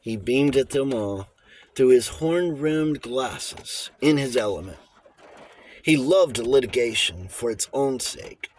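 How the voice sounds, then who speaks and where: A man's voice: mid-range voice, expressive, slow. A man reading a novel aloud as an audiobook narrator. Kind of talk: scripted novel narration with character voices.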